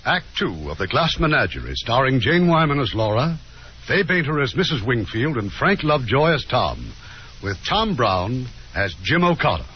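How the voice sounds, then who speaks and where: A man's voice: mid-range voice, very animated, normal speed, slight background noise. A man hosting a radio theater with scripted 1950s narration. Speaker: radio host and producer. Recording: dull 1950s radio broadcast with music.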